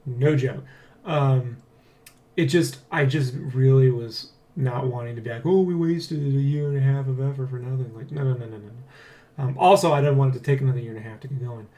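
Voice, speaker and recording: A man hosting a development blog in casual, excitable, rambling chat, jokily boastful and swearing freely. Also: medium pitch, a little fast. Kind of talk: casual vlog chat, addressing viewers.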